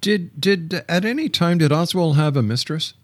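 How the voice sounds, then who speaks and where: A man's voice: a little high, moderate pace, a little hiss or hum. A man hosting a radio talk show from a studio, interviewing and announcing. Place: broadcast studio.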